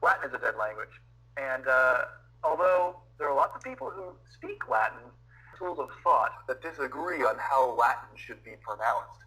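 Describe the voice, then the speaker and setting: A man's voice: medium pitch, big pitch swings, normal speed, pushed and bright, rough recording. A man in a casual online discussion who answers questions in a rambling, self-correcting way and apologizes as he goes. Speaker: debate participant answering questions.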